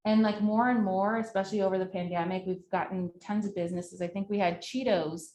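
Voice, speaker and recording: A woman's voice: medium pitch, fairly even intonation, fast, a little mellow. A woman giving an informal company presentation in a conversational way, peppered with filler words like "like" and "right". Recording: roomy echo, clear background noise.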